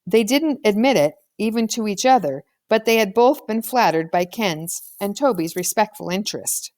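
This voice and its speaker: A woman's voice: medium pitch, expressive, moderate pace, a little mellow. A woman reading a children's novel aloud as scripted audiobook narration. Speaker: audiobook reader.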